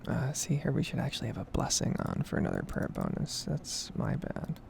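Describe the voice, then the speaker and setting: A woman softly whispering a casual, rambling ASMR commentary over a game. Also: rumbling low, huge pitch swings, fairly quick, gravelly, slight background noise. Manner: soft-spoken, rambling, casual asides. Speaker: gaming video creator.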